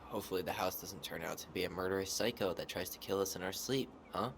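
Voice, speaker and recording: A man's voice: low voice, fast, vocal fry, slight background noise. An actor playing a wry, guarded young man in a video game, dry and understated. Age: young adult. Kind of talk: naturalistic video game acting.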